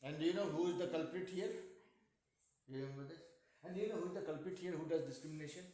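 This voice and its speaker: A man's voice: on the high side, fast talker, mellow. A middle-aged man with an Indian accent teaching a math class, asking his students questions and cracking jokes. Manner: joking, asks students questions. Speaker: math tutor. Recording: echoey room.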